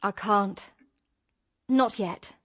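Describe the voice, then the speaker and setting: A woman's voice: mid-range voice, extremely slow, fairly bright tone. An actress playing a romantic, naive young daughter in a radio drama, speaking in an ardent, girlish, emotional way. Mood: tender, hesitant. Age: young adult. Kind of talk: BBC radio drama acting.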